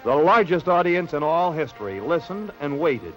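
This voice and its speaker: An American man reading scripted narration for a late-1960s newsreel in a measured, polished announcer delivery. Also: on the high side, very animated, low-quality recording. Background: background music.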